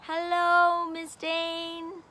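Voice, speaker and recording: Slow, bright-voiced woman teacher. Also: very high voice, completely flat delivery. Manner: slow, simple, encouraging teacher talk. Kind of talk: slow read-aloud lesson for a child. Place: outdoor patio by a brick wall. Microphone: phone camera at arm's length.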